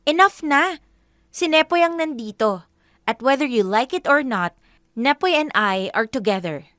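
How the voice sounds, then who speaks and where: High-voiced loyal young girlfriend. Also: expressive, a little slow, fairly bright tone, dry recording, studio quality. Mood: exasperated, firm. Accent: Filipino. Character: young woman defending her boyfriend, firm and loyal. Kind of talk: Filipino radio drama acting, Taglish.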